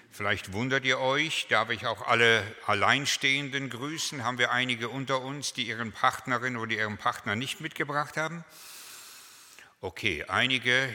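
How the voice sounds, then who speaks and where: A man in his seventies preaches in an easy, anecdotal way, mixing humor into his sermon. His voice is very bright and pushes forward. The sound rings out with a long reverb from the hall. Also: mid-range voice. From Germany. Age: 70s. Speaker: pastor.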